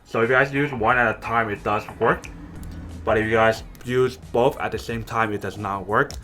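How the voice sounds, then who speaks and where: A man's voice: on the low side, fairly even intonation, moderate pace, pushed and bright, faint music behind. A man casually unboxing and reviewing a gadget, chatty and addressing viewers directly. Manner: chatty, keeps addressing viewers as "you guys". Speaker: product reviewer.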